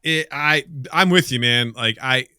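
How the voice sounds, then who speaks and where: A man's voice: mid-range voice, unhurried, bright, forceful tone, clear, resonant tone, no echo, clean studio sound. A middle-aged American man co-hosting a podcast, chatting casually and comedically in an exasperated, profane rant. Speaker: podcast co-host.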